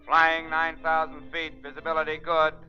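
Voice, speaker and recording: A man's voice: a little high, moderate pace. An actor playing a businesslike male pilot making clipped reports in 1930s radio style. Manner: clipped, monotone. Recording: muffled old 1930s radio recording, music behind.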